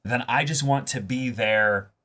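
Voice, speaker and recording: Bright-voiced man in casual reaction chat. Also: medium pitch. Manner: halting, repeats and rephrases for emphasis. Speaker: host of an anime reaction show.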